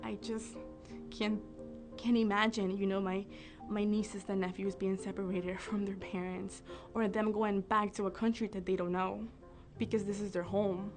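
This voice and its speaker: An earnest, heartfelt woman in her twenties from Mexico speaks in a documentary interview. Her voice sits in a medium range, and she sometimes restarts a phrase as she searches for words. Soft music plays faintly behind her. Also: medium pitch, flat delivery, normal speed. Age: 20s. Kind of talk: documentary testimony.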